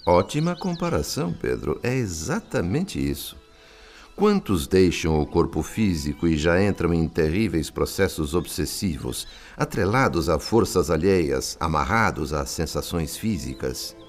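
An actor with a Brazilian accent playing a wise, kindly spirit friend in a radio drama, speaking in a theatrical, didactic way. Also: male voice, low-pitched, big pitch swings, a little slow, soft background music, heavily acted. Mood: earnest, instructive. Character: wise, kindly male spirit friend narrating his afterlife story.

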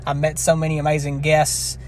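A man's voice: on the high side, monotone, fairly quick. Young man hosting a podcast, throat scratchy. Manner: rambling, earnest, with frequent restarts. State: scratchy throat from long talking. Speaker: podcast host. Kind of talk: casual solo podcast wrap-up.

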